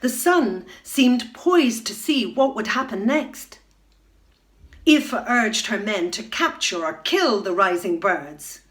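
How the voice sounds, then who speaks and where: A middle-aged woman reading a children's bedtime story aloud at home, expressive, into a webcam. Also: fairly high pitch. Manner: expressive, rising to loud excitement in dramatic moments. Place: room at home.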